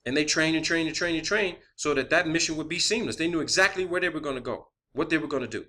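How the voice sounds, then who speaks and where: Male online presenter, slightly high pitch, bright forceful tone, emphatic unscripted ranting with frequent swearing and rhetorical questions. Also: quick pace. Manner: emphatic, insistent, swears often. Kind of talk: unscripted conspiracy theory monologue.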